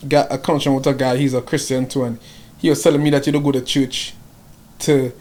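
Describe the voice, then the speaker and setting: A man in his thirties hosting a podcast, speaking in a relaxed, conversational way and stammering at the start of sentences. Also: medium pitch, fast. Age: 30s. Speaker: podcast host. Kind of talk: casual chat.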